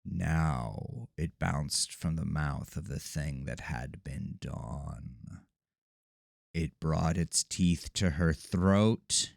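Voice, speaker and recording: A man narrating a scripted horror story in a measured, dramatic manner. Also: extremely low pitch, creaky, no room sound, studio quality.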